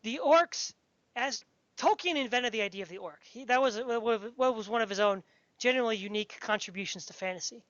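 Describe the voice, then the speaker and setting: Emphatic, high-pitched woman, muffled recording. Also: a little fast, a bit bright, no room sound. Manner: emphatic, insistent, pedantic. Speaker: podcast speaker discussing fantasy. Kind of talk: opinionated casual discussion.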